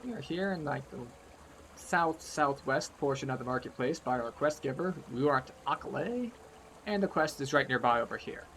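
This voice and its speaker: A man's voice: mid-range voice, lively intonation, moderate pace, a bit bright, a little hiss or hum. A man giving step-by-step playthrough commentary as a video game guide, explaining things in an instructive way.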